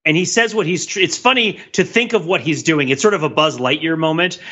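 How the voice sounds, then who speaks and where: A man's voice: fairly high pitch, fairly quick, bright, forceful tone, lo-fi sound. A man co-hosting a podcast, chatting casually about film in a playful, riffing way. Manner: laughs often while talking, playful riffing. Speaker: podcast co-host.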